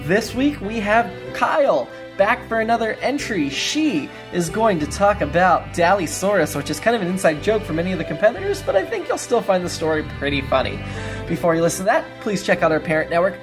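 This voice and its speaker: A woman's voice: on the low side, sing-song, brisk. A woman hosting a podcast, reading a scripted introduction and announcements. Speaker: podcast host. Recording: music bed under voice. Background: music.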